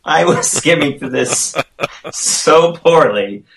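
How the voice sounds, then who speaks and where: A man's voice: a little high, expressive, slow pace, vocal fry. A man co-hosting a casual podcast, tossing in joking, amused banter. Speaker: podcast co-host. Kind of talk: casual chat. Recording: noisy recording with clicks.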